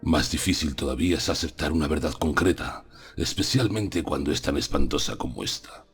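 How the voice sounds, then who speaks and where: A man's voice: very deep voice, fairly quick, husky, exaggerated voice. A man playing a solemn, persuasive older professor in a dramatized audiobook. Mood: pained, solemn. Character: solemn, persuasive older male professor confiding terrible truths. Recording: music under the voice.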